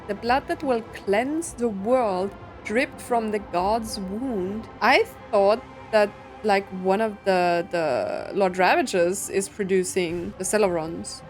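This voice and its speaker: A woman giving casual, informal playthrough commentary as a game streamer, thinking aloud as she goes. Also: on the high side. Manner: chatty, informal, musing aloud. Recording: soft game music behind.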